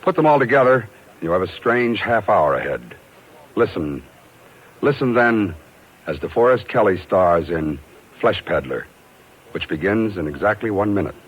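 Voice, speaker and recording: A muffled old radio recording of an American man hosting a thriller series. His low, rather husky voice delivers a scripted introduction with literate showmanship. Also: very animated, normal speed, long pauses. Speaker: radio series producer and host.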